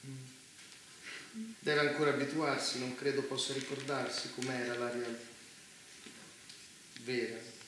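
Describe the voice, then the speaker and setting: A man's voice: medium pitch, level tone, a bit bright, close to an everyday voice. Man playing a condescending bunker master, heavily echoing. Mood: superior, explaining. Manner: formal, measured, patronising. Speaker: stage actor. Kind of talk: Italian theatre dialogue. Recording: very echoey theatre, faint noise.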